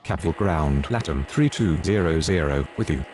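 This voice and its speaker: A man's voice: very deep voice, animated, normal speed. A man making procedural air traffic radio calls in a game.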